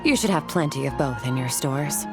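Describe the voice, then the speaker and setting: A cool, solemn swordswoman with a low voice. The words come blunt, measured and detached, formal in the manner of a video game mentor, over background music. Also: animated. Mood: brisk, detached. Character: cool, aloof young woman warrior, blunt and solemn.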